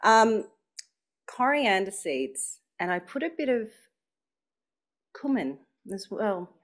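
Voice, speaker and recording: A woman's voice: medium pitch, sing-song, slow. An Australian woman hosting a live event, speaking to a room full of people in a chatty, playful way that draws the audience in. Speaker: master of ceremonies at an event launch.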